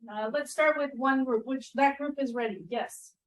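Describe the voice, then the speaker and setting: A woman's voice: high-pitched, moderate pace. A middle-aged woman leading a teaching workshop in a classroom, engaging the group with questions and echoing their answers. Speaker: workshop presenter.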